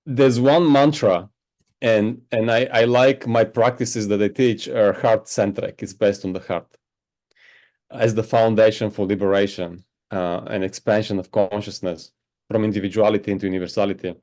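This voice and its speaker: A man who teaches meditation, answering interview questions in a slow, reflective way and often checking in with "right?". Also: lowish voice, fairly even intonation, darkish tone. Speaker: spiritual teacher. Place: home on a Hawaiian island.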